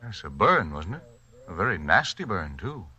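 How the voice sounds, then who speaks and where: A man's voice: deep voice, sing-song, moderate pace, bright, forceful tone, slightly rough, full character voice. A man playing a courteous, inquisitive visiting doctor in a 1940s radio mystery, polite and a little hesitant. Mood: probing. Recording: faintly noisy old radio recording.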